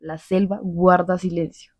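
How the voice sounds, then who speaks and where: A woman playing a grave war correspondent in a scripted radio drama, reporting solemnly and in measured phrases. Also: on the low side, little pitch movement. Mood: grave, tense. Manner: solemn, measured, descriptive delivery.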